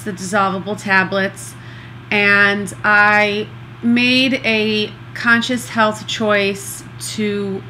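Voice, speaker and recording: A middle-aged woman in a casual video diary, talking slowly and earnestly with a congested nose and many pauses. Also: mid-range voice, fairly bright tone, a little hiss or hum, rough recording. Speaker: vlogger recovering from nose surgery.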